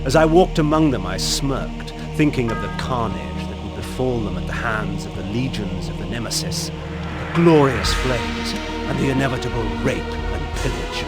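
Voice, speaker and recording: A man plays a proud undead nobleman narrating a game. His mid-pitched voice rises and falls dramatically, haughty, grandiloquent and full of bitter disdain. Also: lively intonation, moderate pace, loud music behind the voice, close to an everyday voice. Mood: sardonic. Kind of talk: theatrical video game narration.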